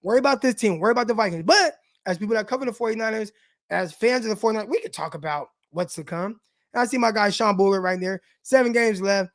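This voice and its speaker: A man with a raspy voice hosting a casual live sports show, talking loosely and with slang straight to his viewers. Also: high voice, fast talker, no echo, studio quality. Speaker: sports podcast host.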